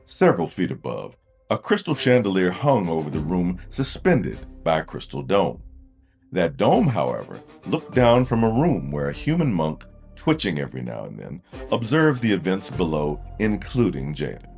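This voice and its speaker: Deep voiced guy narrating fantasy story, very animated, music underneath. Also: moderate pace, creaky. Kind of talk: dramatic scripted fantasy narration.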